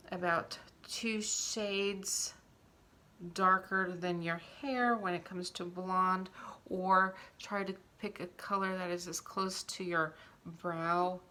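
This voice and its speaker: A woman presents a casual makeup tutorial in a bright, forward voice. Her pitch sits a little low and her pace is unhurried. She talks through each step instructively while demonstrating it.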